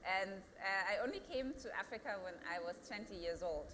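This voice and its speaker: A woman's voice: mid-range voice, brisk, a bit bright, big-room sound, slight background noise. A woman in her thirties with a German accent, a company founder answering interview questions earnestly and rising to emphatic peaks. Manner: earnest, builds to emphatic peaks, thinks aloud with restarts.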